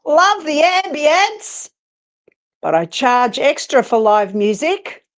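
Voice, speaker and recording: Woman playing a slow, sassy psychic investigator. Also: high-pitched, sing-song, pitched above the performer's own voice, full character voice. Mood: sassy, defiant. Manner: sassy, wry, deliberate delivery. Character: sassy, businesslike female psychic investigator, flirty and unflappable. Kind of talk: dramatic live reading of paranormal romance.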